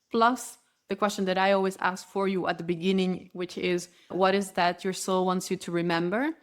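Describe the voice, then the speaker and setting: Brief: female interview guest, Spanish native speaker, mid-pitched voice, earnest and flowing, restarts her phrases. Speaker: spiritual guide, interview guest. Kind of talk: podcast interview.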